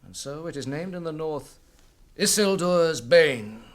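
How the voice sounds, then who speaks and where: A wise elven lord presiding in a radio fantasy. The voice sits a little high, with solemn, measured authority. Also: male voice, expressive. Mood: grave. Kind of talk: radio drama acting.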